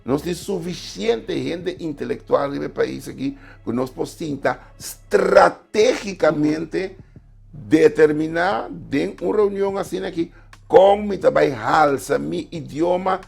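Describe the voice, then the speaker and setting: A man's voice: fairly high pitch, measured pace, creaky, husky. A man appearing as a writer and guest on a talk show, arguing his points emphatically. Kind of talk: studio talk show discussion.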